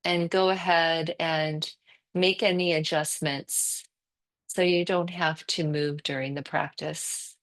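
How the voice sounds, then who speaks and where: A woman teaching guided yoga nidra meditation, speaking calmly and soothingly in short phrases with long pauses between them. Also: low-pitched.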